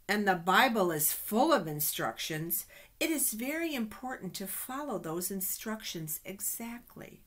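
A middle-aged woman reading Bible stories aloud to children in a deliberate, expressive way. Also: medium pitch. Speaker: children's storyteller at a church. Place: small office indoors. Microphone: webcam at desk distance.

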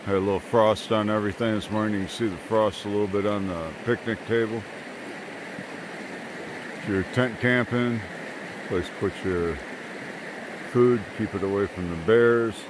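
A chatty older man in his sixties talks to his phone held at arm's length, outdoors by a creek. His voice sits a little low, with a folksy, rambling manner. Faint stream noise runs underneath. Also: fairly even intonation, normal speed, pauses often. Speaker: vlogger. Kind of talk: casual vlog narration. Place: outdoors at a mountain campsite by a creek. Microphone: phone camera at arm's length. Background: running creek water.

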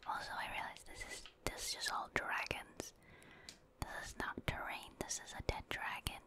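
A woman whispers throughout, in a soft, breathy hush with no full voice. She is a gaming streamer giving ASMR playthrough commentary, musing aloud in an unhurried, informal way.

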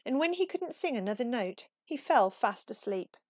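A woman reading a children's picture book aloud as an expressive, animated storyteller. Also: on the high side, moderate pace, no room sound, studio quality. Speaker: storybook reader.

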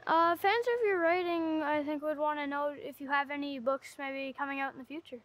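Female interviewer with a high voice, posing questions, dry close sound. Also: steady tone, brisk, a bit bright, no echo.

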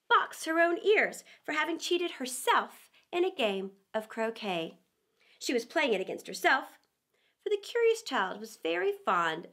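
A middle-aged woman reading a children's story aloud in a theatrical, expressive way, with dramatic pauses and emphasis. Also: sits high.

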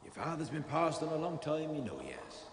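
A gruff, echoing country gamekeeper. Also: male voice, a little high, wildly swooping pitch, fairly quick. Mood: worried scolding. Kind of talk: 1970s British radio drama acting. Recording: echoey, slightly noisy radio play scene.

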